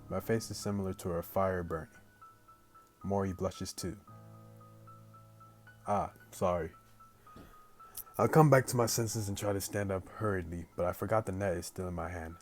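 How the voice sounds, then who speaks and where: A man hosting a gaming video, reading a story aloud casually with slangy, animated asides. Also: low-pitched, normal speed, long pauses, soft background music. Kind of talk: casual let's play, reading story aloud with excited reactions.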